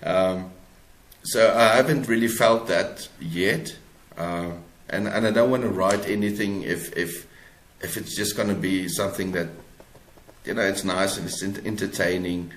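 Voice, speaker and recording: A man's voice: low-pitched, a little slow, slight background noise. A South African man speaking hesitantly as an author being interviewed, in casual conversation. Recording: echoey, roomy sound.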